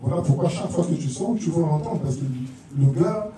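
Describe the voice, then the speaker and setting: A man's voice: fairly high pitch, animated, moderate pace, mellow. A man with a Congolese French accent speaking informally as an artist in a panel discussion, often repeating words. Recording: very echoey room, distant mic, faint noise.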